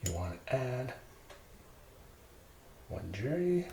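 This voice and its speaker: A man's voice: mid-range voice, big pitch swings, slow, gravelly, warm tone, a little hiss or hum. A man giving an ASMR cooking demonstration as a home cook, murmuring in short, sparse phrases in a kitchen. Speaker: home cook presenting a recipe. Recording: roomy kitchen echo, clattering dishes and clicks.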